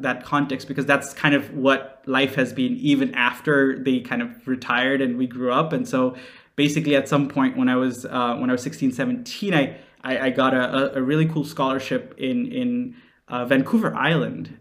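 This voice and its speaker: A man's voice: mid-range voice, level tone, moderate pace, a bit bright. A man in his late twenties from Nepal, answering interview questions conversationally in an earnest, explanatory manner. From Nepal, raised in several countries. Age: late 20s. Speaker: interview guest, social entrepreneur.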